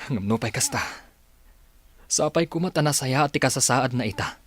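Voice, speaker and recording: An actor playing a sober, reproachful husband in a radio drama, speaking earnestly and gravely. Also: male voice, mid-range voice, animated, moderate pace, close to an everyday voice. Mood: worried, hopeful.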